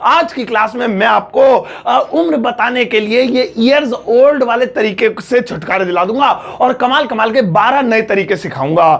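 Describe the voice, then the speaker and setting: A man's voice: extremely high pitch, expressive, normal speed, gravelly, few pauses, a bit bright. A young man teaching English in Hindi, joking, teasing and laughing often. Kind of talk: playful Hindi English lesson.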